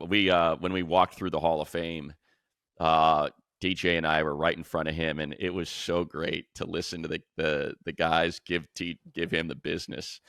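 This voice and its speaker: Deep-voiced American man talking sports. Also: fairly bright tone, no room sound, clean studio sound. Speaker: sports radio play-by-play announcer and podcast co-host. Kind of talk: casual sports chat.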